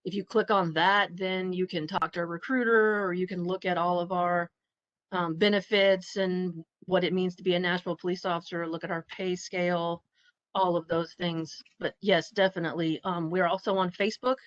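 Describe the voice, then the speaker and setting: A woman police recruiter giving helpful, brisk information at a virtual meeting. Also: on the low side, flat delivery, no room sound. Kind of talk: informative answer. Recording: dry, muffled call audio.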